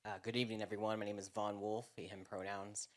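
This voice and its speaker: A man's voice: fairly low pitch, level tone, quick pace, slight background noise. A man on a panel introducing himself hesitantly, with many fillers. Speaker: social services worker, panelist. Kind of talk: self-introduction.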